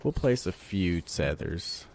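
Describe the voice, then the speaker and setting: A man with a congested voice from a cold, giving relaxed let's play commentary, thinking aloud while he plays. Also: deep voice, slow pace, a little hiss or hum. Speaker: gamer.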